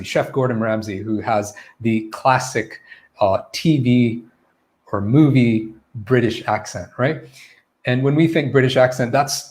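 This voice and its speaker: A man's voice: medium pitch, darkish tone. A man who coaches English pronunciation, giving a casual live-streamed Q&A lesson in a chatty, loose way and often correcting himself. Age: adult, former teacher.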